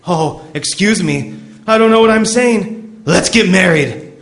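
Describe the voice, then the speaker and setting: A man's voice: sits high, animated, a little slow, a bit bright. An actor playing an earnest, lovesick, nerdy teenage boy in a radio comedy, gushing in overly formal phrases. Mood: ardent. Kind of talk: old-time radio comedy acting. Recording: roomy live stage.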